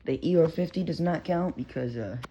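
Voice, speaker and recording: A man's voice: fairly high pitch, a little fast. A man with a Texan accent, a radio hobbyist rambling casually and cursing now and then as he talks about his radios at home. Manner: rambling, profane, self-interrupting. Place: bedroom at home. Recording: lo-fi noisy home recording.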